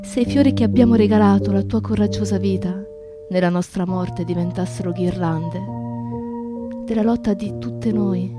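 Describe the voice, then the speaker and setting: A woman's voice: medium pitch, close to an everyday voice. A woman playing a proud, defiant young feminist comrade in radio theatre, with intense, declamatory delivery. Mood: impassioned. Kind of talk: dramatic radio theatre monologue and narration.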